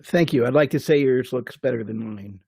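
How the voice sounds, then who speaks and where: A man in his sixties, a security officer on a panel, speaking in a measured, reflective way. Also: mid-range voice, vocal fry, a little mellow, husky, no room sound. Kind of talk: panel discussion.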